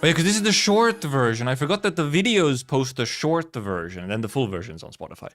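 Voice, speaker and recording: A man live streaming in casual chat, rambling and haltingly thinking aloud, with some offhand swearing. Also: mid-range voice, sing-song, a bit bright, soft background music. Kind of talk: casual chat, reacting to viewers.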